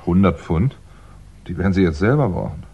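An actor playing a polite, brooding, middle-aged crime writer in a 1960s German radio play, speaking in a measured, dryly understated way. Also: male voice, low voice, expressive, husky, full character voice. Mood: concerned. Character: hunted male crime novelist, polite, brooding, wry. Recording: dull 1960s radio recording.

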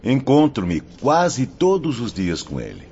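A man's voice: mid-range voice, huge pitch swings, slow pace, warm tone, full character voice. An actor with a Brazilian accent playing a serene, kindly and earnest spirit of a man in a radio drama. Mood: serene, hopeful. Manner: earnest, formal. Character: serene, devout male spirit, kindly reassuring friend. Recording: dull audio, faint noise.

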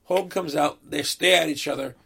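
Gravelly man losing his voice. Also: fairly high pitch, steady tone, a little fast, fairly bright tone. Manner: blunt, swears often, fan enthusiasm. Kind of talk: casual chat.

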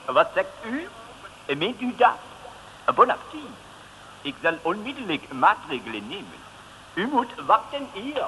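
An actor playing an officious, excitable French prime minister with a French accent in an old radio comedy. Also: male voice, sits high, measured pace, long pauses, heavily acted. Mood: alarmed. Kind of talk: 1950s radio comedy acting. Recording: noisy old radio recording.

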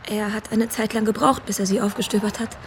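A woman's voice: mid-range voice, steady tone, a little hiss or hum, close to an everyday voice. An actress playing an anxious, polite young woman searching for answers in a radio drama, speaking hesitantly. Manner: hesitant, halting. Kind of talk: German radio drama acting.

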